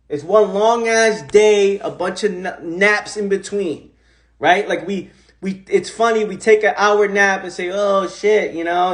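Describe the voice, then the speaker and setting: A man hosting a casual, animated motivational talk on a phone livestream. He repeats himself often and swears casually. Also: sits high. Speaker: host of an Instagram live.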